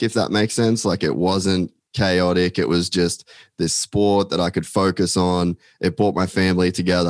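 Man with a very low voice, guest on a casual podcast, loose and rambling. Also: no echo. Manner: rambling, many "like" fillers, swears casually. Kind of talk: casual chat.

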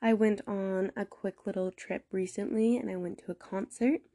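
A woman hosting a podcast alone, a bit stuffed up from a cold, talking slowly and earnestly with some rambling. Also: mid-range voice, fairly even intonation, mellow. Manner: earnest, encouraging, a little rambling; deliberately slow, careful pronunciation. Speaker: podcast host. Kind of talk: casual solo podcast monologue.

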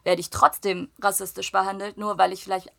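A woman's voice: mid-range voice, fairly quick, creaky. A young woman raised in Germany, chatting casually and telling stories with acted-out dialogue and frequent laughter. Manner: lively storytelling, acts out dialogue, laughs often. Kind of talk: casual chat.

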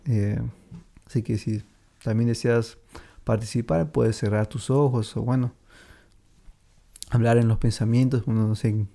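A man hosting a podcast, talking casually and rambling with many fillers. His voice is gravelly and mellow, and he laughs easily between thoughts. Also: mid-range voice, steady tone, a little slow, long pauses. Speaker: podcast host. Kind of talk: casual chat.

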